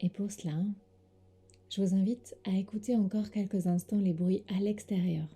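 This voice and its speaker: Very mellow, breathy woman guiding meditation. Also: mid-range voice, steady tone. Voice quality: soft, breathy. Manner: soothing, gentle instructions with pauses. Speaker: yoga nidra teacher. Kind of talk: guided sleep meditation.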